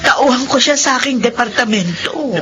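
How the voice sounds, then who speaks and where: A woman's voice: fairly high pitch, normal speed, fairly bright tone, natural voice. A woman playing a kindly middle-aged department boss in a Filipino radio drama. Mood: surprised, kindly. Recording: dull radio recording, faint noise.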